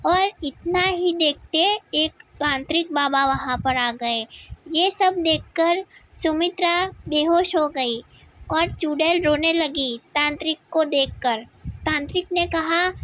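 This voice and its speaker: A woman's voice: high-pitched, slow pace, a bit bright. A woman narrating a scripted cartoon story for children as its storyteller.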